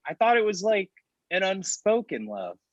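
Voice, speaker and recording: A man acting as a grumpy, lovelorn young man in a comedy table read, confessing earnestly and haltingly. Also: sits high, very animated, no echo, highs cut off. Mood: hurt.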